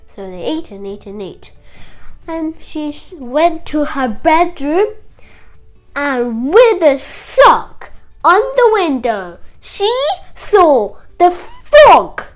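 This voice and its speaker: A young female storyteller retelling a nursery fairy tale in simple, halting, childlike phrases. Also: high-pitched, very animated, soft background music.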